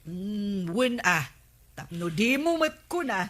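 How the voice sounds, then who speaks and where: A woman with an Ilocano accent playing a sharp-tongued, nagging village wife in a radio comedy drama. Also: mid-range voice, very animated, slow.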